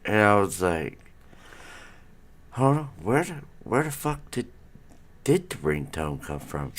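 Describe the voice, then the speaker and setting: A man's voice: fairly low pitch, big pitch swings, slow. A middle-aged man hosting a casual live stream from home, rambling as he chats with viewers, his nose stuffy. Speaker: livestream host.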